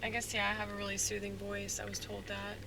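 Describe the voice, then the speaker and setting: A man rambling in a soft-spoken, halting way, full of fillers, as a stand-up comedian musing aloud. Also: sits high, monotone, background noise. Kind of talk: rambling casual monologue.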